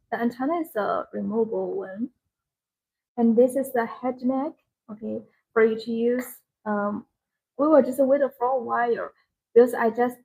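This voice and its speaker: Woman, non-native English speaker, raspy higher voice, live product demonstration, short repetitive phrases. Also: darkish tone, poor audio. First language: not English. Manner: simple short phrases, repeats points. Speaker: live-stream product seller.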